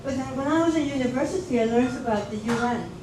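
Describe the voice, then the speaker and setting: Woman from Guyana, storyteller, slightly high voice, echoey room, noisy mic. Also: fast talker. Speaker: guest speaker. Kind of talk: personal story at a livestream event. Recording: echoey, distant, noisy livestream audio.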